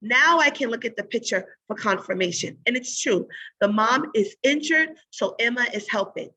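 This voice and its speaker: A woman teacher giving a read-aloud lesson in an instructive way, pausing to think aloud and pose guiding questions. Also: a little high, expressive, pushed and bright, low-quality recording.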